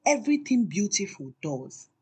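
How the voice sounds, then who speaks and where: A woman voicing a tender, adoring male lover in a folktale, gentle and romantic. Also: medium pitch, sing-song, moderate pace, breathy edge.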